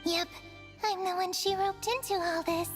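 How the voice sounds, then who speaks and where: Tiny fretful angel, very high-pitched. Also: female voice, steady tone, moderate pace, in a large hall, soft background music. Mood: fretful, defensive. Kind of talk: English video game voice acting.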